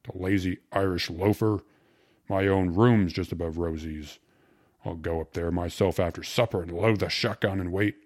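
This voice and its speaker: A man's voice: low-pitched, steady tone, moderate pace, darkish tone. A man voicing a stout, hot-tempered father, brusque and threatening, in an audiobook. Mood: angry, menacing. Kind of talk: audiobook character voice.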